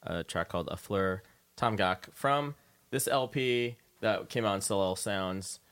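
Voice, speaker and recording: A man's voice: on the low side, a little slow, a bit bright. A man hosting a casual interview show on the radio, chatting informally from a studio.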